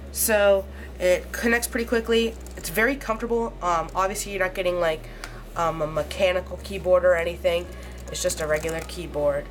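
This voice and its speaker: A woman with a low, slightly hoarse voice talks through a gadget unboxing in a loose, halting way, filling the gaps with ums. Clear music plays underneath her. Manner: rambling, halting, many fillers. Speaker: tech reviewer. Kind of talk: casual unboxing review.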